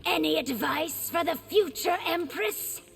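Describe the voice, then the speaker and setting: Fiery high gravelly warrior princess. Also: female voice, measured pace, long reverb tail, slight background noise, full character voice. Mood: defiant. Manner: proud, combative. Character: fiery, defiant young princess heir. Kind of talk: dramatic video game dialogue.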